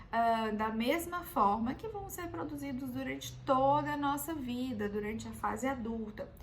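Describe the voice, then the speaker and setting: A Brazilian woman in her thirties, a doctor, patiently explaining a health topic in a calm, teaching way and often checking in with the listener. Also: high-pitched, slight background noise. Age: 30s. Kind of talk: explanatory health video talk.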